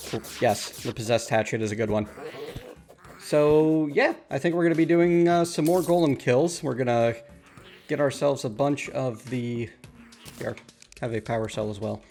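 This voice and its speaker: A man's voice: mid-range voice, moderate pace, a little mellow, soft background music. A man hosting a casual multiplayer gaming chat, upbeat and explaining items to friends. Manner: upbeat, explains game items, laughs easily. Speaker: host.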